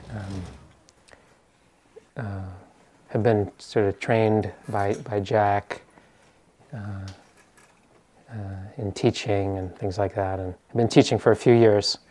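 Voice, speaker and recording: Male meditation teacher, American, lowish voice, informal talk to a group, hesitant and searching for words. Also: darkish tone. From US East Coast.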